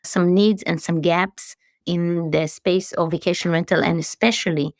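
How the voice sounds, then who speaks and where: Late-40s woman from israel, lowish voice, dry close sound, earnest chatty guest. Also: steady tone, moderate pace, no echo. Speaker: CEO guest. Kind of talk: interview conversation.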